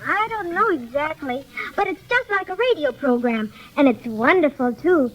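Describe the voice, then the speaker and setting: A very high, girlish voice as a sensible little girl. She is sweet and earnest, gently chiding, in old-fashioned children's radio serial style. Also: expressive, normal speed, rough recording. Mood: earnest, bright.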